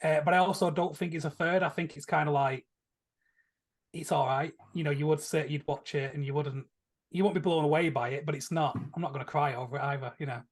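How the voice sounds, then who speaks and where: Casting: male co-host, slightly high husky voice, measured casual discussion. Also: steady tone. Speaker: film podcast co-host.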